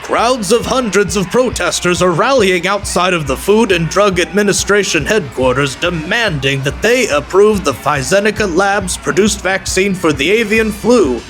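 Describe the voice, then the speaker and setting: High-voiced male news reporter. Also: lively intonation, normal speed, hardly any pauses, fairly bright tone, soft background music, rough recording. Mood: urgent, formal. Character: earnest male television news reporter on location. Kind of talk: formal news report delivery.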